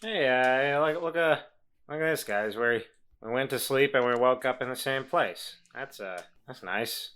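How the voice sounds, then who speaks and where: A man playing deadpan Walter, bright and smooth. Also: mid-range voice, measured pace, lightly acted. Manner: dry, deadpan, drawling.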